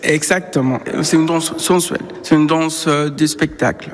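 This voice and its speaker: A man's voice: on the high side, fairly even intonation, normal speed, big-room sound. A man from Venezuela, a dance teacher who laughs easily and calls out counts during a lesson in a dance studio. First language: Spanish.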